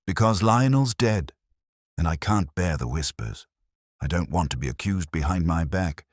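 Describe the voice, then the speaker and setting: A man acts out a retired schoolmaster in a mystery audiobook, resigned and earnest. His baritone is low but animated, as he confesses with anxious guilt. The sound is dry and close. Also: normal speed, dry recording. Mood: anxious pleading.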